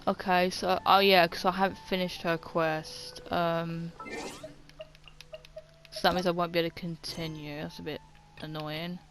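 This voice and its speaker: Slow, low-pitched woman doing gameplay commentary. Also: flat delivery, a bit bright. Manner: hesitant, thinking aloud. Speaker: gaming video creator. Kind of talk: casual let's-play commentary. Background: game music.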